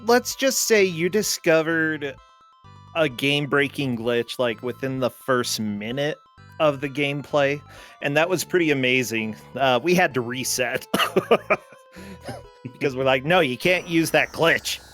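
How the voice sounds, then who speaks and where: A woman's voice: a bass voice, animated, a little slow. A woman giving casual commentary on a game as a guest commentator. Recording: faint music behind.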